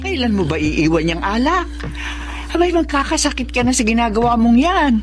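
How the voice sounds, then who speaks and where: Woman acting elderly nagging mother, very expressive pitch. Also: normal speed, close to an everyday voice. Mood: scolding, concerned. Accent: Filipino. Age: older. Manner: scolding, pleading. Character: worried elderly mother, nagging but caring. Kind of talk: Filipino radio drama acting. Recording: music under the voice.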